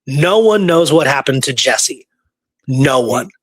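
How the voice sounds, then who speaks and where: A man's voice: mid-range voice, expressive, slow, slight background noise. A man co-hosting a casual history discussion, speaking emphatically and repeating points for stress. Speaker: co-host of a history talk show.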